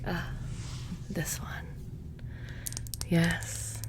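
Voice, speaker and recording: A soft-spoken woman doing close, whispery ASMR roleplay as a witch shopkeeper, with a casual, halting, deadpan menace.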